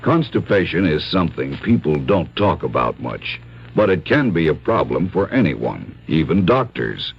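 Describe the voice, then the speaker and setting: Deep, husky male announcer, earnest old-time ad read, muffled radio tone. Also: very animated, normal speed. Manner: smooth, earnest announcer delivery.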